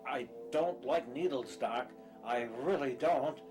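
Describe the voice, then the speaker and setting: A man's voice: medium pitch, slow pace, lightly acted. A man playing a nervous, hesitant fugitive patient in an audio drama, plain-spoken and uneasy. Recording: music behind the voice.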